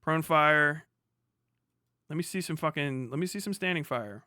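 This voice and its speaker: A middle-aged man with a fairly high, bright and punchy voice, recorded dry and close. He talks like a livestream host reacting off the cuff, loose and slangy, swearing freely as he rambles. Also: normal speed, no echo. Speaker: livestream host, former Marine. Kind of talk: casual reaction commentary.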